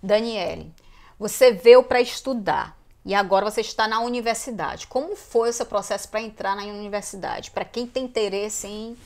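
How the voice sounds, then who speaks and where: A woman's voice: medium pitch, moderate pace, a little mellow. A woman hosting an interview, opening with a scripted introduction and then asking questions and giving short affirmations. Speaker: host.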